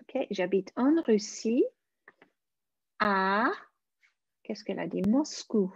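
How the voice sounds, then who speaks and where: Woman teaching french on a live stream, slow and careful, kinda husky, dull dry lo-fi audio. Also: medium pitch, expressive, no room sound. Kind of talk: live online language lesson.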